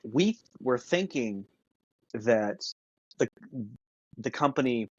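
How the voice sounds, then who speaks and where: A man co-hosting a podcast, chatting casually and thinking out loud in a conversational way. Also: medium pitch, lively intonation, slow pace, no echo, dull audio. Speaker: podcast co-host. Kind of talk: casual discussion.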